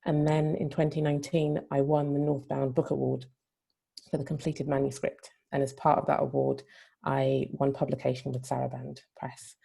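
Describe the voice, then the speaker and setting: A woman writer introduces herself at an online event in a deep voice thick with creak. The tone is dark and mellow. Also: flat delivery, moderate pace. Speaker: writer on a panel.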